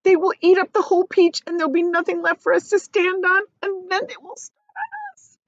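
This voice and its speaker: A frightened lady spider from a children's story, put on by a woman. She pleads dramatically in a very high, swooping voice. The recording is a touch dull. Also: lively intonation, brisk, dry recording. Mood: despairing. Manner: wailing, desperate, imploring.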